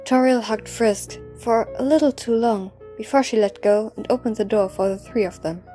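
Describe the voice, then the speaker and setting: A female narrator reads a scripted audio drama. Her voice is fairly high yet relaxed and mellow, with faint music underneath. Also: moderate pace.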